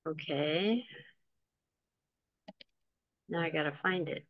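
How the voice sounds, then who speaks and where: A woman hosting an online talk, introducing the speaker in a chatty, relaxed way with light jokes. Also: sits low, takes it slowly, no echo, muffled recording. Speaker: host and moderator. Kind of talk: introducing a speaker over a video call.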